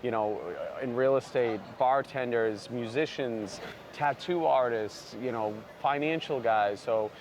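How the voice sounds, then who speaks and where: A man's voice: medium pitch, unhurried, a little mellow. Earnest rambling barber man, noisy backdrop. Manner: earnest, rambling, many "you know" fillers. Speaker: barber and brand founder. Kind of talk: sit-down interview. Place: outdoors at a busy trade convention.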